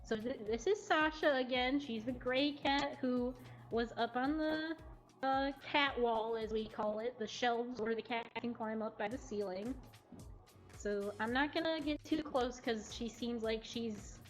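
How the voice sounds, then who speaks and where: A woman's voice: on the high side, measured pace, soft background music. A woman hosting a live showcase, chatting casually to viewers. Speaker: cat cafe livestream host.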